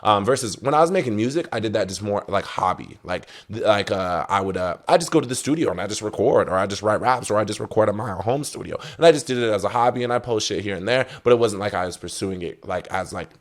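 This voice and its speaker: Low voiced dude on a podcast, rambles, repeats himself, lots of slang and swearing. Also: male voice, lively intonation, fast talker, few pauses. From Salt Lake City area. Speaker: podcast guest, DJ. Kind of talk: casual conversation.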